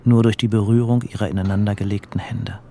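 A man narrating a literary radio play in a measured, deliberate and dramatic way. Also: on the low side, steady tone, gravelly, mellow, a little hiss or hum.

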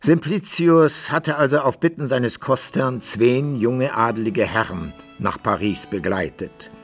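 A man's voice: medium pitch, unhurried, faint music behind, natural voice. An actor playing a fussy storyteller in a radio drama, who muses aloud and questions himself as he narrates. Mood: musing, puzzled. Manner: musing aloud, self-questioning. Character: musing, fussy storyteller devoted to his hero.

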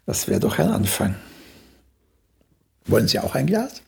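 An aging playwright in a radio drama, once celebrated and now doubting himself. His voice is gravelly and creaky, rising and falling widely. He takes his time, slyly flirting and musing, and sometimes drops to a murmur. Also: lowish voice, wildly swooping pitch, a little slow, darkish tone, exaggerated voice. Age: older. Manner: sly, musing, theatrical, with murmured asides. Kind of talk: radio play acting.

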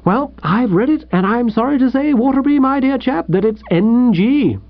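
Man playing an exuberant high-pitched young English gentleman. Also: animated, moderate pace, gravelly, no room sound, highs cut off, exaggerated voice. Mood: jovial. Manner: gushing, breezy, chummy. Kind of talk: audiobook character voice.

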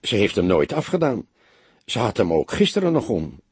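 A man's voice: on the low side, wildly swooping pitch, moderate pace, a little mellow, husky, dry recording, exaggerated voice. Een man met een Nederlands en hese stem speelt in een luisterboek een jonge kantoormedewerkster die ontwijkend en stug antwoordt. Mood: matter-of-fact. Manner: evasive, hesitant, reluctant. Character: guarded, curt young female office worker under questioning.

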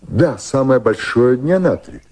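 An actor playing a dignified, ardent British colonial official in his fifties in a Russian radio drama, courtly and deliberate, with a husky voice. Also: mid-range voice, big pitch swings, darkish tone. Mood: earnest, pressing.

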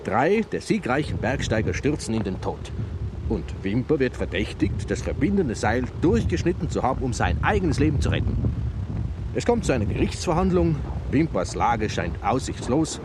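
An actor in a German radio drama playing a proud, middle-aged mountaineer and filmmaker who tells stories with theatrical relish. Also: male voice, medium pitch, big pitch swings, moderate pace, noisy background, close to an everyday voice. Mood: dramatic narrating.

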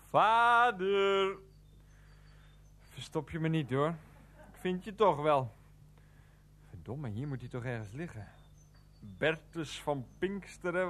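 A man's voice: medium pitch, fairly bright tone, a little hiss or hum. An actor playing a rambling, defiant, grumbling man who likes a drink, muttering to himself in a radio play. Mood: plaintive, searching. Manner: muttering to himself, talks of himself in third person. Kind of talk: Dutch radio play acting.

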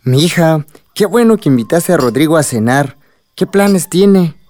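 A man playing a calm, protective middle-aged village father with a Mexican accent in a radio soap opera. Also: on the high side, unhurried. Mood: warm.

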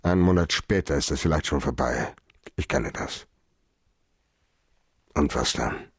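An actor playing a cynical, hard-boiled private detective in a German radio crime drama, speaking bluntly. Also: male voice, very deep voice, unhurried, slightly rough, dry recording, clean studio sound, full character voice. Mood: bitter, resolute.

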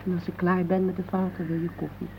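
Plaintive, insistent housewife, muffled recording. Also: female voice, on the low side, flat delivery, quick pace, creaky. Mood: weary, reproachful. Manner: hesitant yet persistent, plaintive. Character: neglected, earnest, insistent housewife of forty-one. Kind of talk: naturalistic Dutch radio play acting. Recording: muffled radio recording with hum and noise.

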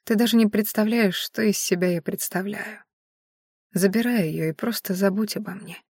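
A woman voicing a dying young woman in an audiobook, hoarse and faint, weary yet resolute. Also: mid-range voice, measured pace, dry recording, clean studio sound. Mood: faint confession. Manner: weak, quietly commanding.

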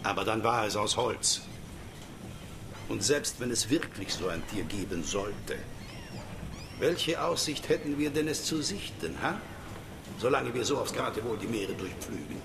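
A seasoned, sceptical harpooner in a radio drama. The voice is gruff and gravelly, sitting fairly low, with clear shipboard noise around it. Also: male voice, lively intonation, unhurried, long pauses. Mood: gruff, doubting. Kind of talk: radio play acting.

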